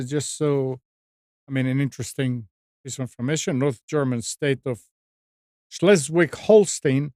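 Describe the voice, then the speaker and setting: A man hosting a casual tech news discussion, partly reading out items in a halting way with repeated words. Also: a little high, a little mellow, no echo, studio quality. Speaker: talk show host.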